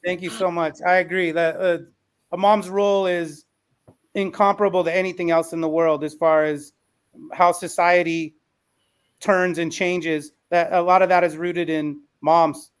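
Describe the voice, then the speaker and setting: A man's voice: high voice, level tone, normal speed, smooth. A young man hosting a conversational interview, speaking in an appreciative, affirming way. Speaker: podcast host.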